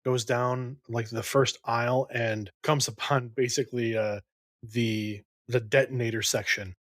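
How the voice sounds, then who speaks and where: A man's voice: mid-range voice, steady tone, a little slow, a bit bright, dry recording. A man playing a tabletop game, describing what his character does as he thinks aloud. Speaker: player. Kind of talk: casual in-character table talk.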